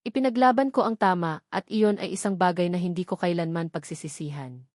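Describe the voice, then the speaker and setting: A woman's voice: fairly low pitch, brisk, a little mellow, dry recording, clean studio sound, close to an everyday voice. A woman voicing a loving, resolute mother reading out her farewell letter in a solemn, earnest drama style. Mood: tender, solemn.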